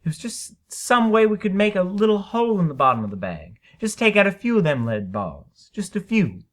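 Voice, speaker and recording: Man putting on a high-pitched, folksy, simple housewife. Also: sing-song, brisk, a bit bright. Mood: sympathetic, coaxing. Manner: folksy, naive, chatty. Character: simple-minded, kindly, folksy average housewife.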